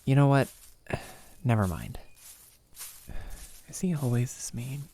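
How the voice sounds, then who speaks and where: Man acting a sly vampire, swooping pitch. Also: lively intonation, gravelly, dark tone, full character voice. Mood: coy, evasive. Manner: halting, coy, mocking. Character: sly vampire posing as a meek, awkward male hiker. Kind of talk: cinematic audio roleplay acting.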